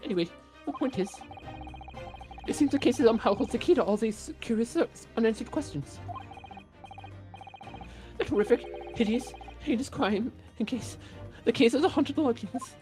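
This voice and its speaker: A player voicing a theatrical, excitable young girl in a game read-along, with dramatic drawn-out emphasis. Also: medium pitch, soft background music, voice pushed up, natural voice.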